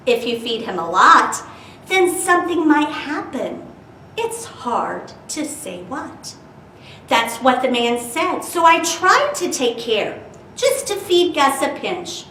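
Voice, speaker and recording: A woman's voice: high-pitched, sharp tone. A woman hosting a library storytime, talking directly to children and prompting them with questions as she introduces and reads stories aloud. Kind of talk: children's storytime talk and expressive read-aloud. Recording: roomy, distant mic with faint hiss.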